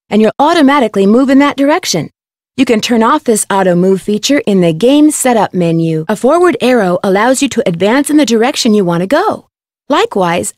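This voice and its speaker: A woman's voice: a little high, a little mellow, dry recording, studio quality. A woman voicing a plucky, curious young woman detective in scripted lines for an adventure game.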